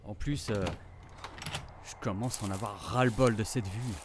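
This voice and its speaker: An actor playing a teasing, affectionate forest ranger in a naturalistic audio drama, with casual, slangy delivery. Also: male voice, lowish voice, fairly even intonation, normal speed, noisy background, close to an everyday voice.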